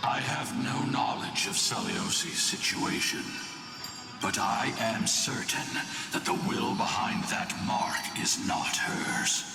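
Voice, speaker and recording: An actor playing a solemn, stern warrior in a video game, with formal archaic speech and a gravelly, breathy voice. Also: male voice, mid-range voice, lively intonation, slow. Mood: grave, foreboding. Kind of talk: dramatic video game voice acting. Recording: echoey hall with long reverb, distant. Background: loud game music.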